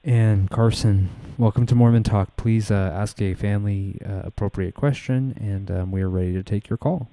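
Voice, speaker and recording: A man co-hosting a call-in show in a conversational, polite, halting way. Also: fairly low pitch, steady tone, mellow.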